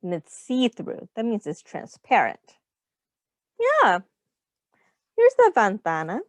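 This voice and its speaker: A woman reads a picture book to young children like a teacher, with a highly animated, swooping pitch. She takes her time, explaining in simple phrases and putting questions to the listener. The sound is close and dry. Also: slow, no echo.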